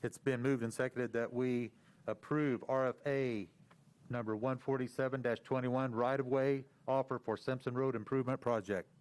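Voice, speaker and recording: An American Midwestern man chairing a county commission meeting, brisk and procedural. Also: mid-range voice, fairly even intonation, a little mellow.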